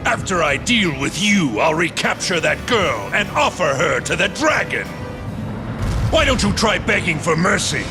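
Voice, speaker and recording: An actor voicing a scheming, cruel general in a video game, with a smug, menacing and theatrical delivery. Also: male voice, high-pitched, very animated, a little slow. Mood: gloating. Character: scheming, cruel male general plotting against his king. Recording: music behind the voice.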